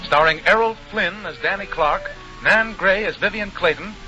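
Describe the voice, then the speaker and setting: 1940s radio narrator guy, slightly high voice, muffled sound, music behind him. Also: normal speed, sharp tone. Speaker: radio play announcer and narrator. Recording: muffled 1940 radio broadcast.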